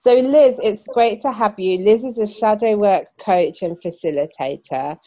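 A woman's voice: medium pitch, normal speed, highs cut off. A woman hosting a podcast from London, chatting loosely with frequent ums and restarts. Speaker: podcast host. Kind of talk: casual conversational interviewing.

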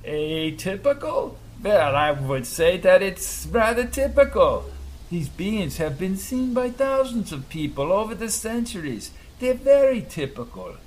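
A man doing a loud old scientist with a thick accent. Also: high voice, big pitch swings, a little slow, voice pushed up, rough recording. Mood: insistent. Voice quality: thick foreign accent, commanding.

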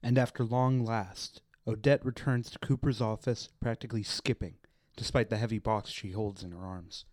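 A man's voice: on the low side, steady tone, moderate pace, hardly any pauses, darkish tone, no echo. A man reading scripted narration for a radio play.

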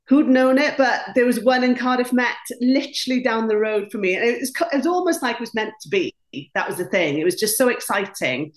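A woman in her late thirties whose first language is Welsh, chatting enthusiastically about her career in an interview with frequent ums. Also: fairly low pitch. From Wales. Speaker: guest, dietitian and former baking contestant. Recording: roomy echo.

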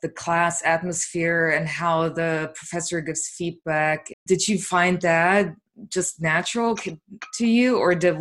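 A woman interviewing a guest, asking measured, deliberate questions and adding her own comments. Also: sits low.

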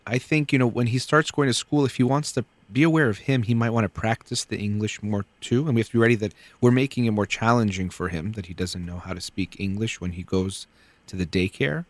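A man with a low voice hosts a call-in advice show from a radio studio. He talks in a calm, measured way, loose with his fillers. The sound is dry and close, with no room around it. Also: no room sound.

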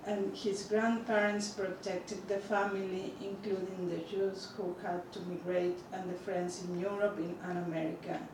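A woman presenting a book with formal, measured prepared remarks. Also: mid-range voice, flat delivery. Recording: echoey room from a distant mic, noisy.

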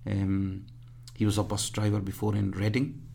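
A man in his fifties from Pakistan, reminiscing in an interview in a halting way full of fillers. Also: on the low side, monotone, creaky, mellow, slight background noise. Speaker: guest.

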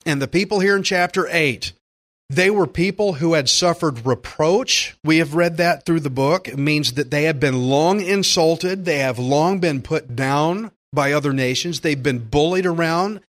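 A man's voice: a little high, a little slow, fairly bright tone. A man preaching a conversational Bible-teaching sermon as a pastor, plainspoken and emphatic, repeating phrases for stress.

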